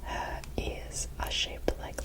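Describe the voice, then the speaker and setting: Whispering woman in a soft ASMR style. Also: slow pace. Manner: soft, rambling, appreciative whisper. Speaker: ASMR host showing a doll collection. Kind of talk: whispered ASMR show-and-tell.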